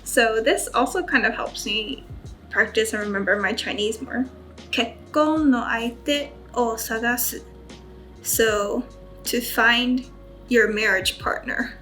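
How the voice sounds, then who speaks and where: Female study vlogger, very unhurried delivery, casual and careful with pronunciation, light music underneath. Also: fairly high pitch, pushed and bright. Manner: self-conscious, careful when pronouncing foreign words. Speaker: study vlogger and Japanese learner. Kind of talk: casual study-with-me chat, sounding out vocabulary.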